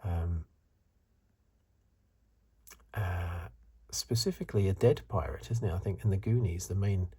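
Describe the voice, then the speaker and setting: A man in his fifties giving soft-spoken, whispery ASMR commentary in a hesitant, halting, unscripted way, with a breathy voice. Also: sits low. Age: 50s. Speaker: host of an ASMR video channel.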